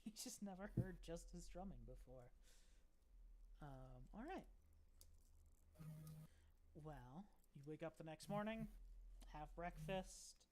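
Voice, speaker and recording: A woman's voice: lowish voice, lively intonation, takes it slowly, pauses often, background noise. A woman running a tabletop game as game master, narrating casually and chatting with players.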